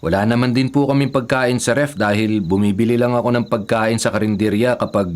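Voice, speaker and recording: A man's voice: mid-range voice, fairly even intonation, a little fast, natural voice. A man playing a young adult nephew in a Filipino radio drama, politely deferential but privately exasperated. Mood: polite, uneasy. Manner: deferential aloud, sardonic in asides.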